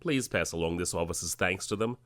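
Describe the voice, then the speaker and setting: An actor playing a stern, calculating government director in an audio drama, clipped, deliberate and authoritative. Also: male voice, low-pitched, fairly bright tone, dry recording, studio quality. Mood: suspicious, commanding.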